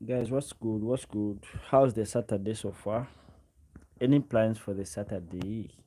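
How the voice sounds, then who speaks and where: A man's voice: fairly low pitch, measured pace, warm tone. A man in his twenties with a Ugandan accent, chatting casually on a livestream, speaking directly to viewers and often repeating his phrases. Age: 20s. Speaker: live streamer. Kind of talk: casual livestream chat, reading and answering comments.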